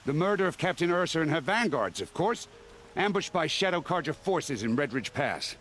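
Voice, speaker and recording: A man's voice: fairly high pitch, expressive, normal speed, a bit bright, slight background noise. A man voicing an officious, scornful city gate guard in a video game. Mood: stern. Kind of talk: cinematic video game acting.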